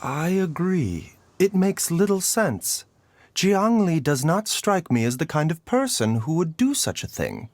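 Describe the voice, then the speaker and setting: A man playing a calm, scholarly male healer in a video game, speaking with measured, formal courtesy. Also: on the high side, dry recording, exaggerated voice. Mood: thoughtful.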